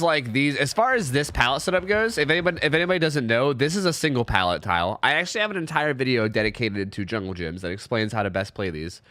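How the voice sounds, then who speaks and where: A man's voice: mid-range voice, bright, forceful tone, dry recording, clean studio sound. A young man with a cold, commentating gameplay casually, sniffly, profane and rambling with lots of restarts. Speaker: gameplay reviewer.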